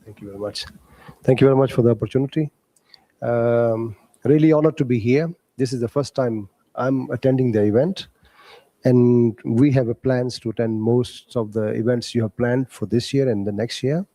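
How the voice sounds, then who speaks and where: Man, mellow tone, dry sound, polite short business introduction. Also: medium pitch, dry recording. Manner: polite, brief, slightly halting. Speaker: company director. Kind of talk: introduction speech.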